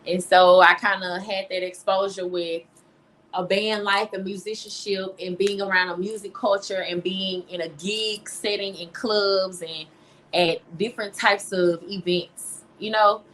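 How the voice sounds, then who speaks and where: A woman's voice: on the low side, steady tone, moderate pace, a bit bright, slight background noise, rough recording. Young Southern American woman, casual and emphatic. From Louisiana, United States. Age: young adult. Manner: informal, emphatic. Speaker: interview guest, singer and songwriter. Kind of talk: casual interview chat.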